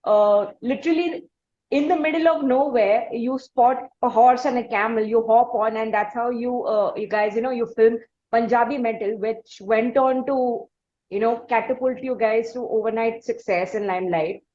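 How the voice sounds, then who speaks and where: A woman with an Indian accent hosting a conversational interview, asking long, halting questions. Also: fairly high pitch, mellow, muffled recording. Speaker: interviewer and podcast host.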